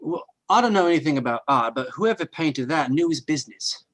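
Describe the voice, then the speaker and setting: An actor playing a plain-spoken, uneasy visitor, hesitant and unpretentious, in old-time radio drama style. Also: male voice, fairly high pitch, expressive, fairly quick, a bit bright.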